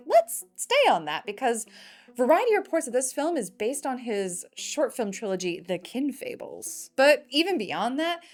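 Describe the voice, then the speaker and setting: A woman hosting a scripted film news show with wry, opinionated commentary. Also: on the high side.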